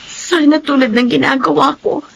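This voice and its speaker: A woman's voice: high-pitched, fairly even intonation, normal speed, creaky. An actress playing a caring young mother and sister in a radio melodrama, with an earnest, emotive delivery. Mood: gently pleading. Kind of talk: melodramatic radio drama acting.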